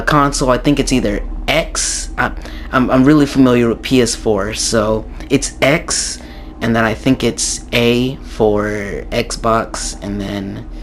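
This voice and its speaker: A young man with a slightly raspy, hoarse voice from a sore throat, giving a rambling casual game tutorial with many ums and restarts. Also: mid-range voice, a bit bright, a little hiss or hum. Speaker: gaming YouTuber.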